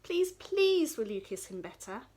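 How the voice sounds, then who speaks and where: Woman playing a courtly, lively storybook wolf. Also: on the high side, a little slow. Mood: courtly, imploring. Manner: polite, formal, courteous. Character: hungry, polite male wolf, courtly and eager.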